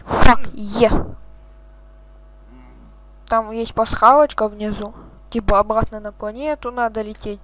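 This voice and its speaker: A man's voice: high voice, little pitch movement, a little slow, pauses often, a bit bright, no room sound, rough recording. A man hosting a gaming channel, chatting casually and swearing as he reacts to the game. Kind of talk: casual let's-play commentary.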